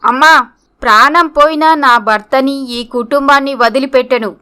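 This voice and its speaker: A woman's voice: high-pitched, moderate pace, sharp tone, smooth. A woman voicing a loyal, defiant young wife and daughter in a dramatic, earnest story style. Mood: hurt, defiant.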